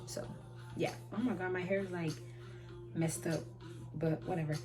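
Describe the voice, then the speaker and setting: A woman in her twenties answering viewer questions in a rambling, halting way, often restarting and trailing off. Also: fairly low pitch, fairly even intonation. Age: 20s. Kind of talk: casual chat. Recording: loud music playing behind her.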